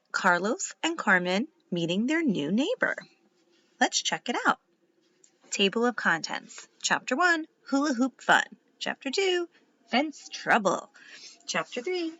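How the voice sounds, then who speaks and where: Slow, animated woman reading to children. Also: on the high side, highs cut off. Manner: deliberate, instructive, expressive. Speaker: teacher reading a children's book aloud. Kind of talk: animated read-aloud narration for young children.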